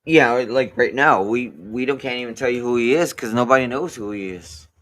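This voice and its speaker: A man co-hosting a casual chat, halting and blunt, often restarting his sentences. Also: medium pitch, fairly bright tone. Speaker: co-host.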